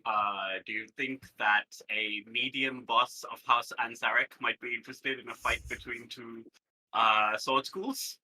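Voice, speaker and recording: A man's voice: mid-range voice, steady tone, measured pace, pushed and bright, poor audio. A man playing in a tabletop role-playing game, talking in a casual, tentative, slangy way.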